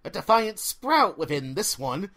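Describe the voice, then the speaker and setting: A man's voice: high voice, big pitch swings, unhurried, sharp tone, heavily acted. A man voicing a haughty, curious female forest guardian in an audiobook, stately and formal in manner. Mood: haughty, stern. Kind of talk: audiobook character voice.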